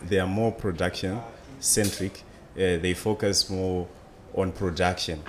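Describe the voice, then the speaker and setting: Deep-voiced young Zimbabwean man, faint noise. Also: steady tone, a little slow. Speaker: interview guest, student entrepreneur. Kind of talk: conversational storytelling.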